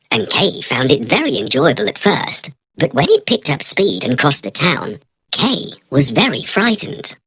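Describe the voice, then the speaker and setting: A woman's voice: low-pitched, fairly bright tone, breathy edge, no room sound, poor audio. A woman narrating a children's storybook from a script.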